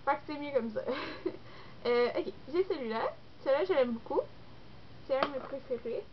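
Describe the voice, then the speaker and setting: A woman's voice: high-pitched, animated, moderate pace. A young woman with a Québécois French accent, congested with a cold, chatting casually and rambling with lots of fillers in a vlog. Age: young adult. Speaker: beauty vlogger. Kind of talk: casual chat to camera. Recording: dull lo-fi recording with faint noise.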